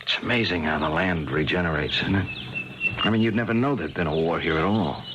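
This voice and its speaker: An actor playing a decisive, urgent American army sergeant in a 1970s radio war drama. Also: male voice, sits low, fairly quick, dull audio, lightly acted. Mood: reflective, calm. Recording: noisy 1970s radio broadcast recording.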